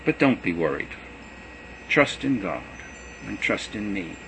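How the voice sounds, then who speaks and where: A man voicing Jesus as a gentle teacher saying farewell to his students, earnest and measured. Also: on the low side, sing-song, vocal fry, slight background noise, heavily acted. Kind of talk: reading a gospel aloud.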